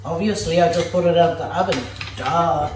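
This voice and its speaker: A woman's voice: low voice, normal speed, a little mellow, soft background music. A woman from Samoa who hosts a cooking vlog and walks viewers through a dessert in a playful, chatty way. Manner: chatty and playful, talks straight to viewers as "guys". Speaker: cooking vlogger. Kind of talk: casual cooking demonstration. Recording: echoey room.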